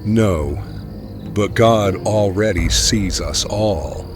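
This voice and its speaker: A man's voice: lowish voice, very slow, music in the background. A man playing a devout, anxious friar in an audio drama. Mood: firm, pleading. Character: devout, anxious friar trying to rescue a boy.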